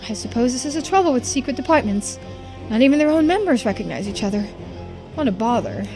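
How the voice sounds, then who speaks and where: Woman performing stern adult female investigator, video game, authoritative, calculating, high-pitched, very expressive. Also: fast talker, music in the background. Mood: probing.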